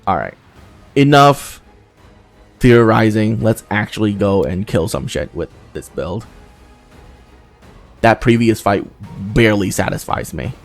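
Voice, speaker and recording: A man's voice: on the low side, expressive, a little slow, gravelly, pauses often, soft background music. A man giving casual playthrough commentary as a gaming host, thinking aloud and swearing casually as he goes.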